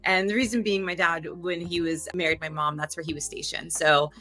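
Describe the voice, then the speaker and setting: A woman's voice: fairly low pitch, brisk. Chatty woman in her thirties. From Delaware, US. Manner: chatty, animated, loose restarts. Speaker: guest. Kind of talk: casual interview storytelling.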